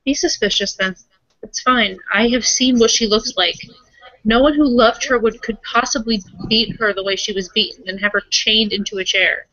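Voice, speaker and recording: A woman's voice: a little high, moderate pace, bright, forceful tone, no room sound. Woman roleplaying casually, close and dry. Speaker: tabletop roleplaying player. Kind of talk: casual in-character roleplay with asides. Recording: dry but dull, lo-fi microphone.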